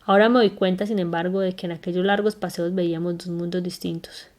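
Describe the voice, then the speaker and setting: A woman reading a memoir aloud in an unhurried, careful way, now and then stumbling and correcting herself. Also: lowish voice, fairly even intonation, darkish tone. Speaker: reader.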